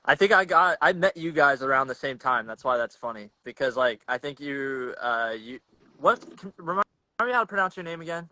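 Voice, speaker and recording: A man's voice: mid-range voice, lively intonation, brisk, pushed and bright, dry recording, low-quality recording. A young man hosting a podcast in a casual, slangy, rambling chat over a video call, often restarting his sentences. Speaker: podcast host.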